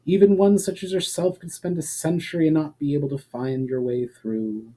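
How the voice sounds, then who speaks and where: Brief: male narrator plays elven woman, smug and testing, grave measured delivery, soft-edged mellow tone, fantasy audiobook. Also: a little high, steady tone, natural voice. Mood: calm, knowing. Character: composed elf woman, knowing, testing, faintly smug host.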